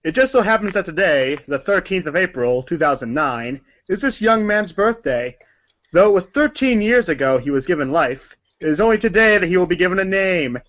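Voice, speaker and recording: A man's voice: sits high, lively intonation, brisk, fairly bright tone. A man reading scripted narration for a read-along story performance, in a dull recording.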